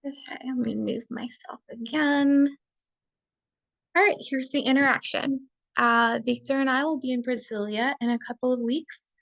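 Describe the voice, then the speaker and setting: A young woman presenting a class assignment over a video call, chatty and quick to laugh, with many fillers. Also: a little high, gravelly, no room sound. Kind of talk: casual slideshow presentation.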